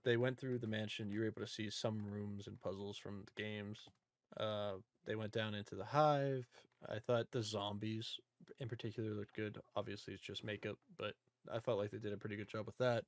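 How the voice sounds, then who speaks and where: A man's voice: fairly low pitch, steady tone, fairly quick, dry recording. A man hosting a podcast, talking casually about films in an informal, joking recap style. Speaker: podcast host. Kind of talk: casual movie discussion.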